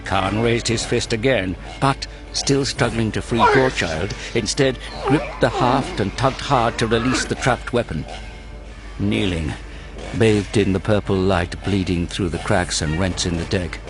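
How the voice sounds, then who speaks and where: Deep-voiced man narrating over music. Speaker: audio drama narrator. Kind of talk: dramatic scripted narration of a war story. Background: prominent dramatic music.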